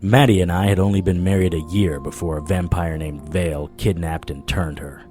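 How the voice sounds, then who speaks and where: A man's voice: sits low, steady tone, normal speed, a little mellow, faint music behind, lightly acted. A man playing a haunted, dogged supernatural investigator, telling his story in first person in a hard-boiled audio drama style. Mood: tense, narrating.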